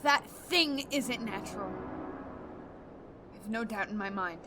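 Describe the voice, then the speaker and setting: An actress playing a blunt, hardened war-veteran space captain in a science-fiction audio drama. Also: female voice, medium pitch, a little slow, noisy background, close to an everyday voice. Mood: uneasy, insistent. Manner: blunt, hard-edged.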